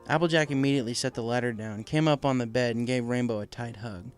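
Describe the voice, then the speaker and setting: Casting: male audiobook narrator, mid-pitched voice, scripted fan fiction reading with music underneath. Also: fairly even intonation, quick pace, clear, resonant tone.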